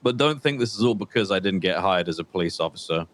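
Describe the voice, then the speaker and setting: Man acting a deep-voiced, menacing ex-trooper criminal. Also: expressive, a bit bright, no echo. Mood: menacing, boastful. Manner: deliberate, halting, repeats words, condescending. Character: menacing, bitter former state trooper turned confident criminal. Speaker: roleplayer. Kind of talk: improvised crime roleplay phone conversation.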